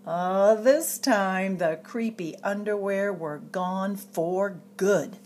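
A woman reading a picture book aloud to children in an expressive, dramatic storyteller's way, drawing out suspenseful phrases. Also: medium pitch, takes it slowly, slight background noise. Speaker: storytime reader at a public library.

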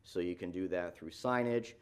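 Deep-voiced man presenting a staff report. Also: moderate pace, clear, resonant tone. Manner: explanatory, frequent fillers and restarts. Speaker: city planning staff presenter. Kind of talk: staff report at a public meeting. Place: council chamber.

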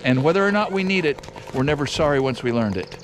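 A man in his sixties from Oregon, hosting and narrating in a measured, reflective way. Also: a little high, lively intonation, heavy background noise. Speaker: host. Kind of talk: casual chat and voice-over narration. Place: indoor wooden workshop.

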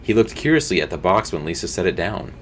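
Rich: A young man with a very low voice reads a spooky story aloud at his home streaming desk. His pitch swings widely as he reads, and he slips into hushed comments between lines. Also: very animated, fast, slight background noise. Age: young adult. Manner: reads with slips and restarts, drops to quiet asides. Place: home streaming room, indoors.

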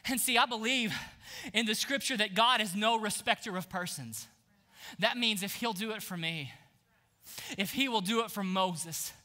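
A man in his thirties preaching an energetic sermon on a church stage, swinging from hushed lines to shouts. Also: very high voice. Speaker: pastor.

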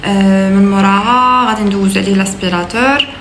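A woman's voice: mid-range voice, quick pace. Chatty Moroccan woman in an echoey kitchen. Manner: chatty, relaxed, many hesitations. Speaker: vlogger. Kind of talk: casual vlog narration. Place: apartment kitchen, indoors. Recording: echoey room, distant mic, noisy background.

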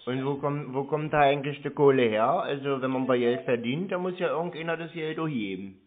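A man's voice: mid-range voice, fairly quick. A man talking casually on the phone, asking questions in a hesitant, rambling way. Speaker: prank caller posing as a customer. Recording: muffled narrow-band phone line.

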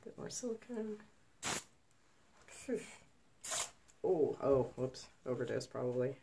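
A woman with a low, gravelly, mellow voice, stuffy from a cold, talking through a paint pour in a relaxed, chatty way. She is recorded on a phone at a home craft table, with a faint hiss underneath. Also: slow pace. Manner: chatty, self-deprecating asides. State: has a cold, snuffly. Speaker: acrylic pour artist. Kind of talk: casual tutorial chat while working. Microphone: phone camera overhead.

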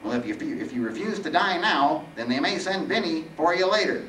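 A man's voice: on the high side, animated, a little fast, fairly bright tone, voice pushed up. A man voicing a petty, sarcastic Grim Reaper with a nasal, whiny, long-winded delivery in a storybook reading. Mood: smug, sarcastic. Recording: roomy, distant mic, faint noise.

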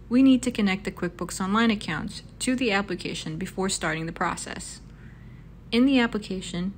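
A woman reading scripted narration for a software demo in an instructional, step-by-step manner. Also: medium pitch, a little hiss or hum.

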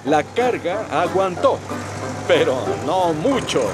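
A man's voice: high voice, expressive, slow pace. A man with a Latin American Spanish accent narrating a children's cartoon story. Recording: music behind the voice.

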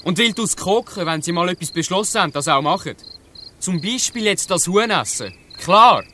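Guy doing snappy surly swiss german kid from a gang, radio play, higher voice, faint chirping noise. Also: animated, moderate pace, bright, forceful tone. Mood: hostile. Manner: curt, challenging. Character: surly, hostile boy in a street gang. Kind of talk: dialect audio drama acting.

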